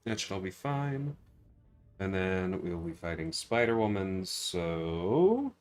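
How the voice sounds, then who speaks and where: A man's voice: low voice, big pitch swings, slow, a little mellow. A man hosting a casual gaming livestream, thinking aloud in a rambling way as he chats to viewers. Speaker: livestream host.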